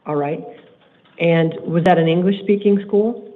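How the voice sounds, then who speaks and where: Stern man judging over tinny audio. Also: a little high. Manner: stern, measured, repeats himself when pressing a point. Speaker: judge. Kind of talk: court hearing. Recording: tinny court livestream audio.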